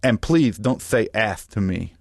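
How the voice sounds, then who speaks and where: A man's voice: on the low side, animated, unhurried, vocal fry, no room sound, studio quality, exaggerated voice. A man voicing a defiant son who mocks and taunts his mother in an audiobook, dismissive in manner. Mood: taunting. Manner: mocking, dismissive. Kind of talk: audiobook character voice.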